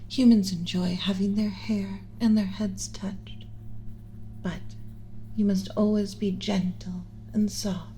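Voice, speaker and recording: A woman voicing a gentle, motherly alien scientist in an ASMR roleplay, speaking in a soothing, measured, instructional, often whispery way. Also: mid-range voice, level tone, slight background noise. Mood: calm, instructive. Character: gentle motherly alien scientist teaching a class about pet human.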